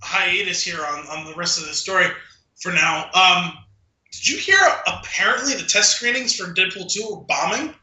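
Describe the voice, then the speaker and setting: High, very bright male voice, echoey. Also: normal speed. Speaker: podcast co-host. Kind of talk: casual movie review chat. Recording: echoey, roomy sound.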